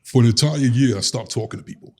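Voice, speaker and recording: A man in his fifties from Brooklyn with a softened New York accent and a deep, smooth, laid-back voice, chatting as a guest on a podcast. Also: fast. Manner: smooth, radio-host-like delivery. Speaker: guest, relationship coach. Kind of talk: casual podcast chat.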